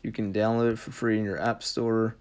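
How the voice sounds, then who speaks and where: A man's voice: lowish voice, little pitch movement, a little fast, a little mellow. A man giving a casual how-to voice-over as the host of an app tutorial.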